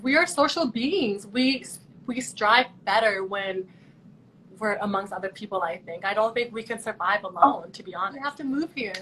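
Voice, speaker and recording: A woman's voice: medium pitch, animated, moderate pace, noisy background. A young woman from Canada, a pageant contestant, chatting earnestly and thoughtfully over a video call. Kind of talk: casual video call chat.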